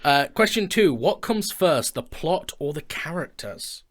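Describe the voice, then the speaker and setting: A man's voice: fairly high pitch, sing-song, measured pace, a bit bright. Man chatting casually as a podcast host. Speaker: podcast host and audio drama writer. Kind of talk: casual conversation, reading out listener questions.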